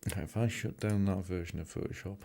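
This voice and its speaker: A man's voice: sits low, steady tone, fairly quick, vocal fry, dark tone. A middle-aged British man hosting a casual live stream, joking and greeting viewers by name as he edits photos, a bit tired. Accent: British English. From Britain. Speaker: livestream host. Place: home studio room.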